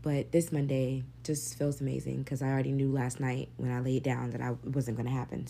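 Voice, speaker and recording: Solo podcast host, young woman, very low gravelly voice, mellow tone, faint hum under it. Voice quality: deep voice, gravelly. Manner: candid, chatty, swears freely. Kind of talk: casual solo podcast monologue.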